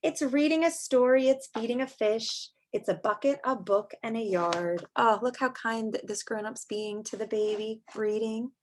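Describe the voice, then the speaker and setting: A woman's voice: on the high side, moderate pace, hardly any pauses. A woman teacher reading a picture book aloud to young children, expressively and in simple words, with chatty asides.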